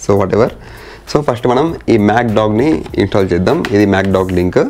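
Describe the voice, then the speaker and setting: A man's voice: on the low side, fast, a little mellow, soft background music. A man talking informally through a hands-on installation as a vlogger, mixing in English words and phrases. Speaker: motorcycle vlogger. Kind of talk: casual hands-on installation walkthrough.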